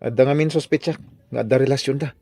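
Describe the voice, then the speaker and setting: A man's voice: medium pitch, steady tone, quick pace, warm tone, no room sound, lightly acted. A man playing a jealous, suspicious office worker in a drama serial, with an Ilocano Filipino accent. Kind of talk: Ilocano drama series voice acting.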